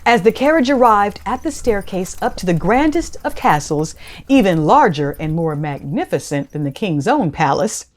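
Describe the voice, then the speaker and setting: A woman reading a children's storybook aloud with dramatic, expressive narration. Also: medium pitch.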